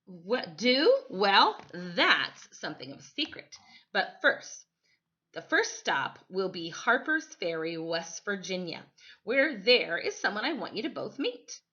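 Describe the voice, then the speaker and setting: A woman's voice: medium pitch, sing-song, takes it slowly, bright, forceful tone, lo-fi sound, exaggerated voice. A woman voicing a stern but affectionate storybook grandmother with an emphatic, scolding and singsong way of talking. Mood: teasing.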